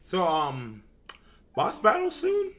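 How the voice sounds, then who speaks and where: A woman's voice: fairly low pitch, wildly swooping pitch, slow, fairly bright tone. A woman streaming a game, reacting live in casual, slangy short remarks.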